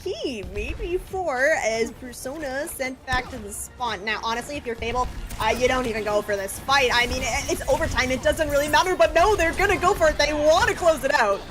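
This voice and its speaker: A woman with a high voice gives live esports analysis. She talks casually, repeating words for emphasis, with music clearly playing behind her. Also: fairly quick, hardly any pauses. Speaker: esports commentator. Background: background music.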